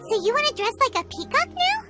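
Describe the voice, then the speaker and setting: A woman voicing a tiny, chirpy, cheeky fairy girl companion in a video game, squeaky and childlike. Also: sing-song, fast talker. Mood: chatty. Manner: refers to self in third person, exclaiming. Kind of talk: video game character acting. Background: loud music.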